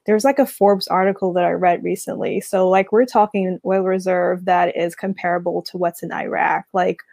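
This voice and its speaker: A young woman from Guyana, a guest talking casually in an interview, relaxed, with many fillers and restarts. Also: lowish voice, moderate pace, creaky, no echo, clean studio sound. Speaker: guest, finance community founder.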